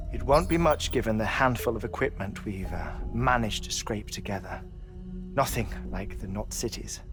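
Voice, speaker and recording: An actor playing a gentle, obsessive middle-aged scientist who carries grief, articulate and professorial, in a video game cutscene. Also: male voice, fairly low pitch, close to an everyday voice. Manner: measured, articulate, professorial, with hesitant pauses. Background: music.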